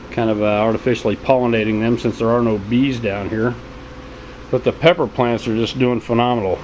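A man gives a casual, unscripted video tour in a plain-spoken, low-key way, talking from behind a handheld camera in a small basement room. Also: poor audio. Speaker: home hydroponics hobbyist narrating a video tour. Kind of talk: casual unscripted walkthrough narration. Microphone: handheld camera, narrator behind it. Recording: steady loud hum and water noise.

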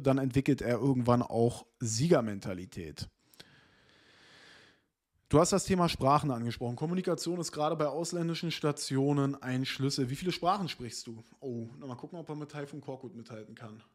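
A man's voice: on the high side, moderate pace. Streamer, thirties, male, reads an article on stream and riffs on it casually, desk mic in a small room. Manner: reads text aloud, then comments in loose asides with fillers. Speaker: streamer reading and reacting to an interview. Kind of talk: casual chat, reading an article aloud.

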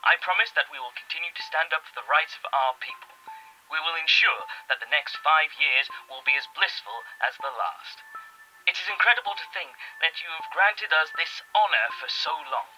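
A man's voice: on the high side, lively intonation, normal speed, bright, forceful tone, soft background music, rough recording. A man playing a grandiose, self-important political leader making a declamatory speech in a radio drama. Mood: grandly triumphant. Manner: pompous, declamatory.

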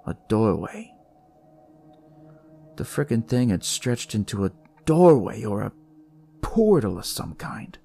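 A man narrating dramatic noir horror in the first person, with a deliberate, brooding delivery. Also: lowish voice, very animated, creaky, warm tone, breathy edge. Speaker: storyteller reading in first person as a detective. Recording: music behind the voice.

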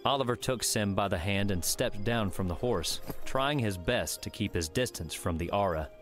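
Deep-voiced male audiobook narrator. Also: soft background music. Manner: measured storytelling. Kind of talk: scripted fiction narration.

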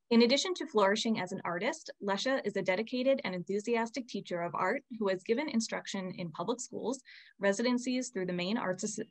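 A young woman hosting for a museum, introducing a guest speaker over a video call with a friendly, filler-heavy delivery. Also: mid-range voice. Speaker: museum host. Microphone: laptop webcam mic on a video call.

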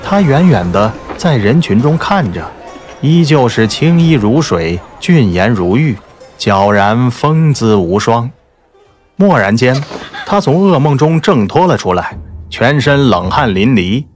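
A man's voice: mid-range voice, sing-song, a little slow, faint music behind. A man reading scripted dramatic narration from a romance novel. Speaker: audiobook narrator.

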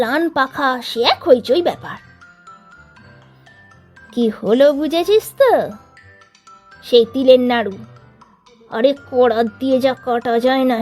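A woman's voice: sits high, darkish tone. A woman voicing a boastful teenage boy who leads his gang, spinning a tall tale in a teasing tone. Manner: bragging, teasing storyteller tone. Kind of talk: storybook reading of a figure's tall tale.

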